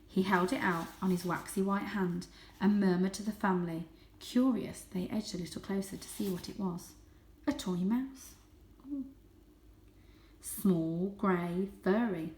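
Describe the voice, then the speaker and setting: A woman reading a children's story aloud as host, with expressive, dramatic and suspenseful narration. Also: mid-range voice, a little slow. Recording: echoey room.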